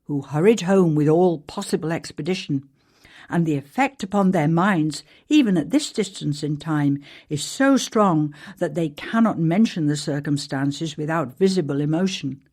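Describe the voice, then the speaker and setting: Low-pitched woman reading an old ghost story. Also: moderate pace, a little mellow. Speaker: actor reading a historical newspaper article as a ghost story. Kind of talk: scripted narration of an archaic newspaper account.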